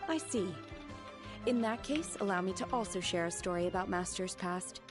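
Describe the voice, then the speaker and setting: A woman voicing a calm, reserved young female disciple in a game cutscene, formal and polite, addressing her teacher as Master. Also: medium pitch, moderate pace, music in the background, natural voice.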